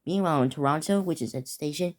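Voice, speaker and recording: A man's voice: fairly high pitch, fast. A teenage boy improvising a mock emergency broadcast, often stumbling and restarting his words. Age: teens. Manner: stumbling, restarting words while reading out an alert.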